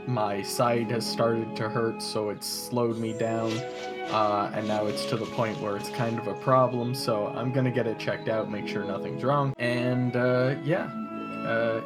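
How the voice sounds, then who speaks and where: A woman hosting a gaming video with casual commentary, talking in a halting, informal way. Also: a bass voice. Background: music behind the voice.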